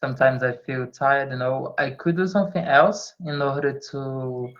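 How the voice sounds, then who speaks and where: Over dull, lo-fi call audio, a man practises his English as a non-native speaker. He chats casually at a middling pitch, hesitating and repeating words, with small laughs between phrases. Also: moderate pace, fairly bright tone. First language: not English. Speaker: English learner. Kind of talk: casual chat in a language lesson. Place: online video call.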